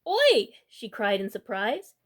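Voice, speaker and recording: A woman's voice: on the high side, animated, moderate pace. A woman hosting a storytime, reading a children's picture book aloud as narrator.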